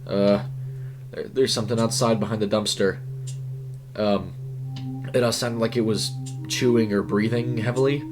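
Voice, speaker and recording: Man voicing a jittery young fast-food worker. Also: lowish voice, fairly even intonation, over music, lightly acted. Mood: uneasy, hesitant.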